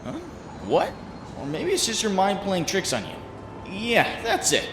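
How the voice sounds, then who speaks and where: Man playing a high, animated, anxious young superhero. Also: unhurried, exaggerated voice. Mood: brooding, wry. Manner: brooding, wry, self-doubting. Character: anxious, wry young male superhero narrating his doubts. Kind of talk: dramatic second-person narration and dialogue, comic dub. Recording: echoey room with faint background noise.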